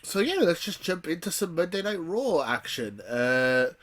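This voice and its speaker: A man's voice: fairly high pitch, sing-song, unhurried, fairly bright tone. A man hosting a casual watch-along reaction show, sounding nasal from a cold and chatting jokily with fillers. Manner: chatty, jokey, thinks aloud with fillers.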